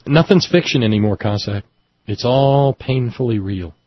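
A man's voice: medium pitch, expressive, normal speed, slightly rough, no room sound, muffled recording. An older man hosting a casual talk show, telling rambling stories with a wry, chuckling manner. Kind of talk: casual call-in chat.

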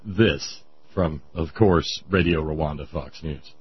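A man hosting a talk show, giving sarcastic, rambling political commentary in a husky voice. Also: very deep voice, slow, highs cut off. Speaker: radio show host.

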